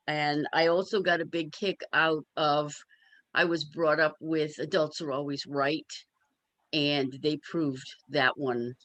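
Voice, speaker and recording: A woman's voice: deep voice, a bit bright, no echo, rough recording. A woman sharing personal thoughts casually on a video call, plain-spoken and sure of herself.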